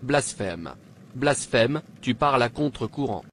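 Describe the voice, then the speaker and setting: A man's voice: medium pitch, animated, measured pace, slight background noise, close to an everyday voice. A man playing an arrogant, tyrannical king in a dubbed cartoon, speaking in an imperious, boastful manner. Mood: outraged. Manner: imperious, boastful, indignant questioning. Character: arrogant, tyrannical pagan king demanding obedience.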